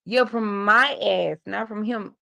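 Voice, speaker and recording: A woman's voice: mid-range voice, slow, vocal fry, bright, forceful tone, a little hiss or hum. A woman in her forties hosting a casual livestream, telling rambling, profane stories to her viewers. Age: 40s. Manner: loose, profane, rambling storytelling. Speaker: livestream host. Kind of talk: casual live chat.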